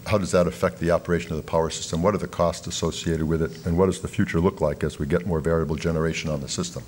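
A middle-aged man giving a keynote talk at a lectern in a large hall, speaking in a measured, explanatory way with fillers and restarts. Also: deep voice, darkish tone, a little hiss or hum. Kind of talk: conference keynote lecture.

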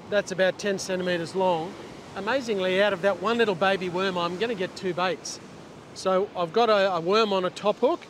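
A middle-aged man talking casually as he fishes and explains on an ocean beach, with a clip-on lapel mic. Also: high voice. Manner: chatty, explains while working, restarts sentences. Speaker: fishing channel host. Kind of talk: casual instructional vlog. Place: open ocean beach outdoors, surf. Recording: loud surf and wind noise. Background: crashing waves and wind.

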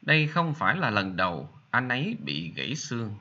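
A man with a Vietnamese accent teaching English, reading each sentence in Vietnamese and then repeating it slowly in English for learners to copy. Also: mid-range voice, very animated, bright, forceful tone, lo-fi sound. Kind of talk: slow bilingual drill, repeating each sentence.

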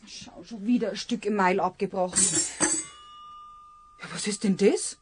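A woman's voice: mid-range voice, a little slow, a little mellow, slight background noise, close to an everyday voice. A woman playing a nagging, practical housewife with a Bavarian accent in a children's radio play. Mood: matter-of-fact. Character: nagging, practical housewife bickering with her husband.

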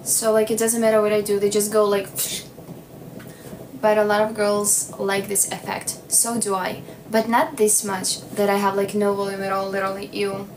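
A young woman in her twenties whose first language is Ukrainian, chatting casually on a phone livestream in a small room, slow and playful with lots of "like". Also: mid-range voice, completely flat delivery. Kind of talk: casual livestream chat reading comments. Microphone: phone at arm's length. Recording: noticeable background noise.

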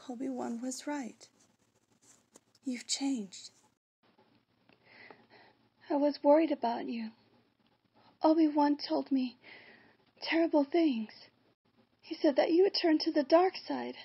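A woman voicing a devoted, heartbroken young noblewoman in film melodrama, with a breathy, emotional delivery. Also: high-pitched, warm tone. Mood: heartbroken, distressed. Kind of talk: fan voice acting audition, film melodrama.

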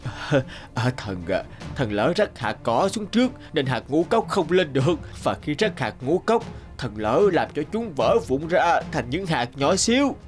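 Nervous old cartoon god, meek n fumbling, voice hops up and down a lot, music under it. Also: male voice, a little high, big pitch swings, unhurried. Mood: apologetic, nervous. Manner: hesitant, fretful, apologetic. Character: bumbling, flustered old male sower god, meek servant. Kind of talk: animated fairy-tale dubbing. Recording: music behind the voice.

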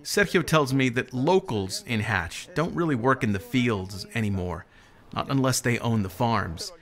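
A man's voice: mid-range voice, animated, a little slow. A man in his forties with an American accent, delivering scripted narration as the host of a regional TV feature. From southern New Mexico, United States.

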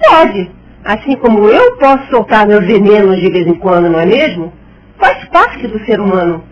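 An actress playing a bitter, sharp-tongued, suspicious woman friend in a radio soap. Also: mid-range voice, sing-song, normal speed, lightly acted. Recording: dull lo-fi sound.

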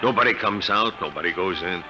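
A low-pitched, slow-witted gumshoe acted by a man, eager and good-natured. His slangy street talk comes from a vintage radio play, with music behind him. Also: dull audio, close to an everyday voice. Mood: matter-of-fact. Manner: slangy, streetwise, plain-spoken. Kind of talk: 1950s radio drama acting. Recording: vintage 1950 radio broadcast.

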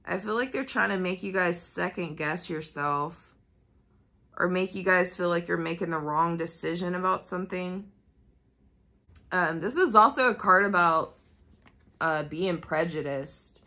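A woman's voice: lowish voice, measured pace, sharp tone. Nasal woman with a cold. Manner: chatty, swears freely, many fillers. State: coming down with a cold. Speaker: tarot reader. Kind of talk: casual tarot reading to camera. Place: indoors at a floor-level reading table. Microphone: camera pointed at the cards, speaker off-frame.

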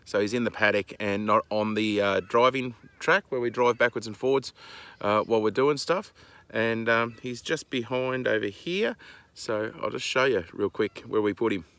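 An Australian man in his forties talks casually to his phone outdoors. His voice sits fairly low and has a strong gravelly creak. He speaks informally and haltingly, as a farm vlogger. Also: a bit bright, no echo. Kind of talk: casual vlog narration. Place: outdoors on a dry rural farm. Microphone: handheld phone at arm's length.

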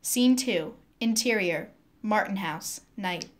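A woman's voice: mid-range voice, takes it slowly. Casting: female narrator for script stage directions, middle register.

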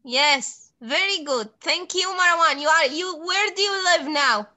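A woman's voice: extremely high pitch, measured pace, pushed and bright. A young adult woman teaching an online English class to children over a video call, encouraging and full of praise. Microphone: computer mic on video call.

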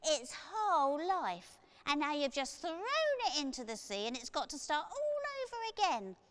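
An excitable, harebrained hare from a children's storybook, acted out by a woman. The voice is high and swoops about, with emphatic, childlike insistence and plenty of cheek. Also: normal speed, slight background noise. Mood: reproachful.

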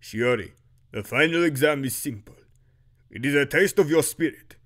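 A man's voice: fairly high pitch, normal speed, a bit bright. A man voicing a stern, solemn headmaster in an audio drama, speaking formally and with command. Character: stern, solemn male headmaster of an archer academy.

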